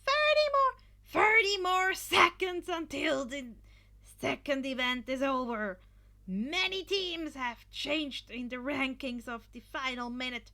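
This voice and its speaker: A woman's voice: high-pitched, expressive, pitched above the performer's own voice, heavily acted. Woman putting on a screaming, hyped male announcer. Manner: loud, hyped, drawn-out announcing. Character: excitable, screaming male sports festival announcer.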